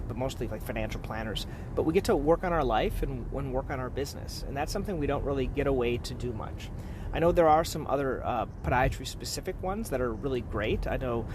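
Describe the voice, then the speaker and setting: A man with a medium-pitched voice talks informally, explaining practice tips to fellow professionals. He is conversational and repeats points for emphasis. Clear background noise, like outdoors or traffic, runs behind him. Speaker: podiatrist giving practice advice.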